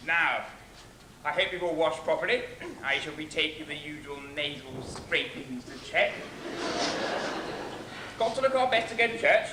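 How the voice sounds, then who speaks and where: A man's voice: a little high, animated, unhurried, long pauses. A man playing a fussy, prim father in a stage pantomime, marshalling his children. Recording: echoey, noisy stage recording.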